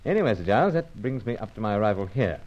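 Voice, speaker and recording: Muffled, lively clipped British agent. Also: male voice, fairly low pitch, racing. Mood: unruffled. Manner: clipped, understated, dropping words. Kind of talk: vintage radio thriller acting and narration. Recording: muffled old radio recording, faint music.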